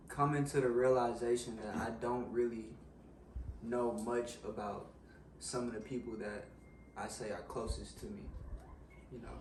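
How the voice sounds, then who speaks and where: Young man, slightly raspy, roomy and distant. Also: mid-range voice, a little mellow. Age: young adult. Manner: frank, hedging with "you know what I'm saying". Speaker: guest in a discussion. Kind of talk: casual reflective chat. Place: living room, on a couch. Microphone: far camera. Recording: roomy sound from far off, faint hiss.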